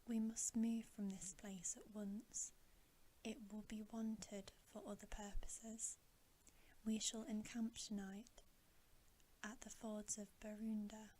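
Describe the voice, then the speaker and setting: A woman voicing a solemn, weary male lion leader from a storybook in a breathy, measured ASMR whisper. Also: mid-range voice, flat delivery, dark tone, a little hiss or hum, lightly acted, low-quality recording. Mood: grave command. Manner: grave, measured, slow.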